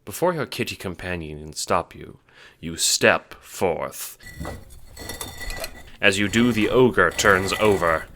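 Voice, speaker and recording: A man with a low voice narrates an audio drama. He reads scripted storybook prose addressed to the listener. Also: expressive, slow pace, long pauses, pushed and bright.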